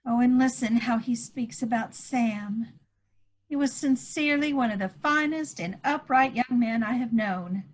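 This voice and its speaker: A woman acting a high-voiced, anxious wartime woman. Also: unhurried, poor audio. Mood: earnest, wistful. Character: anxious, hopeful wartime woman clinging to news of missing airman. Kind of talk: one-act play table read. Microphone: video call.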